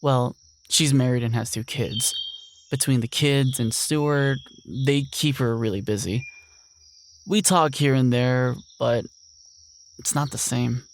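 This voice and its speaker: A man's voice: mid-range voice, takes it slowly, slight background noise. A young man playing an earnest, lonely ranch heir, speaking a weary, reflective monologue in an audio drama. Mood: resigned.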